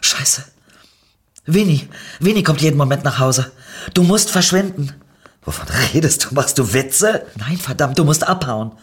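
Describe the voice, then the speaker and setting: A man voicing a nervous young wife who hides an affair, his voice husky, raised in pitch and pleading. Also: lively intonation, moderate pace, hardly any pauses, exaggerated voice. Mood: panicked. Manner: pleading, high and breathless when frightened.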